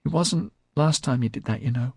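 Give us a man acting out a dim, gum-chewing showgirl from an audiobook. Her voice is a squeaky, very high lift, giggly and naive, with a meek and easily led temper. The recording is dry and close. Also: moderate pace, gravelly, breathy edge, dry recording. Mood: worried.

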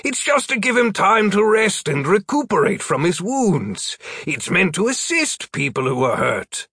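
A man's voice: sits high, sing-song, a little slow, pushed and bright, slightly rough, no room sound, clean studio sound, pitched above the performer's own voice, heavily acted. A man voicing a flustered adult male wizard who tries to sound firm while explaining himself. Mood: defensive. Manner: earnest, trying to sound firm.

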